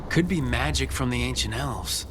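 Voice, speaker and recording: A man's voice: medium pitch, normal speed, background noise, close to an everyday voice. A man playing an alert, inquisitive adventurer hero in a fantasy video game, plain-spoken and watchful. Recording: loud game music underneath.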